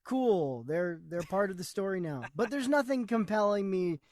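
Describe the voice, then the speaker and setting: A man's voice: sits high, expressive, normal speed, darkish tone, no room sound. A man co-hosting a movie review podcast in casual chat, with a dry, sarcastic manner. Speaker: podcast co-host.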